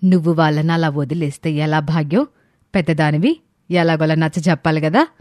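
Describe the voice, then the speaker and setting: A woman acts out a concerned older village neighbour in a moral tale. She advises and consoles gently in a fairly low voice, with a very dry recorded sound. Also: a little fast, no echo, close to an everyday voice. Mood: concerned, consoling.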